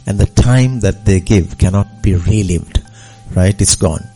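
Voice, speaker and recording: A man's voice: sits low, unhurried, dark tone, soft background music. A man speaking earnestly on a radio show, with many fillers. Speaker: foundation representative. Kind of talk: speech-like radio talk.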